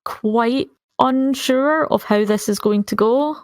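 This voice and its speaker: A woman's voice: a little high, slow pace. A woman playing a tabletop game, casually describing her character with wry, hesitant understatement.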